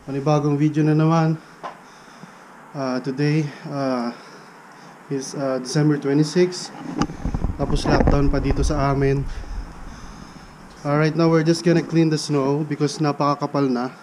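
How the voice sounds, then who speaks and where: Male vlogger, Filipino accent, slightly high voice, informal and halting, loud outdoor noise. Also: level tone. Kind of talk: casual vlog chat. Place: outdoors in snow.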